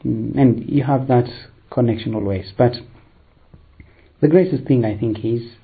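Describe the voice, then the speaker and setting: A priest, telling his vocation story in a hesitant, unhurried way with many fillers. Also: mid-range voice, vocal fry, phone-quality sound. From Eritrea, raised in Ethiopia. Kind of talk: personal vocation testimony.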